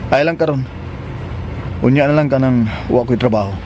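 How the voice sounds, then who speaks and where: Radio drama casting: male actor as hardworking, devoted Filipino fiancé, mid-range voice, clearly noisy ambience. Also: medium pitch, moderate pace, natural voice. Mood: coaxing. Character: devoted, hardworking fiancé eager to have children. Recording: noisy urban ambience behind.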